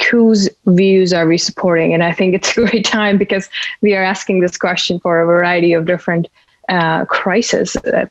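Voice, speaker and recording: A woman's voice: fairly low pitch, dry recording, lo-fi sound. A woman hosting an interview, asking long questions in a hesitant way full of fillers. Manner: hesitant, many fillers and restarts. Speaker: host, interviewer. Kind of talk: interview over a call.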